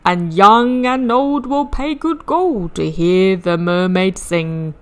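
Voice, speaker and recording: A woman's voice: mid-range voice, takes it slowly, natural voice. A woman voicing a sly, greedy old male circus owner in a storybook, grandiose and bossy. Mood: grand, tempting.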